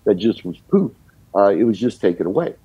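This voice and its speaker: An older man from the United States, a singer-songwriter guest, telling his story in a relaxed, reflective way with many "you know" fillers. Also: lowish voice, fairly quick, slightly rough, no echo, muffled recording.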